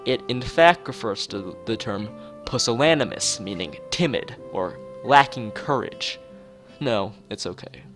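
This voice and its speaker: A man's voice: mid-range voice, expressive, unhurried, fairly bright tone, faint music behind. A man live streaming, mixing joking, mock-formal commentary with reading story text aloud from a game. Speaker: streamer playing a visual novel.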